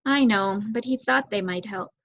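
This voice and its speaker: A woman's voice: mid-range voice, normal speed, a bit bright, lo-fi sound, lightly acted. A woman voicing an anxious, puzzled mother mouse in a children's storybook, earnest and hesitant. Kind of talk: children's storybook read aloud.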